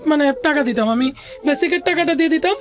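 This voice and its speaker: High-voiced Bangladeshi woman telling her story. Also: quick pace. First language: Bengali. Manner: conversational, mixes in English words. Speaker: interview guest. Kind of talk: recounting a personal life story.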